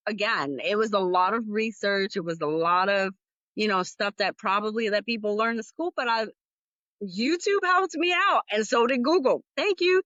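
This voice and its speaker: A woman hosting her own podcast, chatting in a slow, unhurried way. She rambles candidly and leans on plenty of fillers. The sound is dry and a little dull, as if recorded on modest gear. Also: mid-range voice, fairly bright tone, no room sound. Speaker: podcast host. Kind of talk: casual solo podcast talk.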